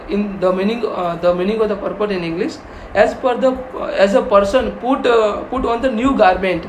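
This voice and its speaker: A spiritual teacher with an Indian accent giving a devotional lecture in an earnest, repetitive, halting way. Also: mid-range voice. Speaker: spiritual teacher and video host. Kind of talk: devotional lecture with verse recitation. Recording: roomy echo, faint background noise.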